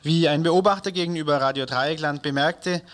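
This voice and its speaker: A man from reading a community radio news bulletin in a studio, measured with the odd slip and self-correction. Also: fairly high pitch. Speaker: news presenter.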